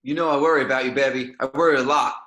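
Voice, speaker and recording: A man playing a controlling, possessive middle-aged father in a home table read, speaking in a low, creeping, threatening way in an echoey room. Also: fairly even intonation, rapid-fire, bright, forceful tone, natural voice. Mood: menacing. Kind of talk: amateur table read over video call.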